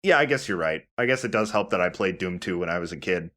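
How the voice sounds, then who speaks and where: A man with a fairly low voice talks casually as a let's play host. His delivery is conversational and halting, as he works out his thoughts about games while speaking. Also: animated, a bit bright. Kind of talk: casual gaming commentary.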